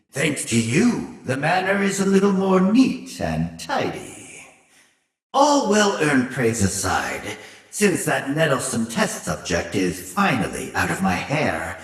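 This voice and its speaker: Game villain: sinister older scientist, slow, mockingly polite delivery with extreme melodic swings, gleefully superior, echoey and off-mic. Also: male voice, mid-range voice, a bit bright, heavily acted. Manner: mocking, theatrically polite, drawn-out phrasing. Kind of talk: theatrical video game villain acting. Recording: roomy echo, distant from mic.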